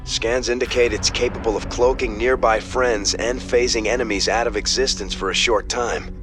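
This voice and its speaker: An actor playing a respectful military starship officer in a video game, briefing his commander briskly. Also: mid-range voice, fairly even intonation, over music, close to an everyday voice. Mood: businesslike. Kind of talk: video game cinematic acting.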